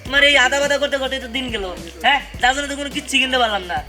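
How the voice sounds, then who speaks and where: Someone doing a highish nervy cartoon traveller, complains a mile a minute, music in the background. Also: racing, close to an everyday voice. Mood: nagging, anxious. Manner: complaining, fretful. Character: nagging, anxious traveller afraid of ghosts. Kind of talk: cartoon voice acting. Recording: music under the voice.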